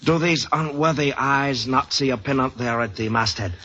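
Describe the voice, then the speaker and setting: An actor playing a wry, philosophical Chinese junk captain with flowery courtesy in a 1940s radio drama. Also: male voice, medium pitch, moderate pace, bright, forceful tone. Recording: noisy, muffled 1940s radio recording.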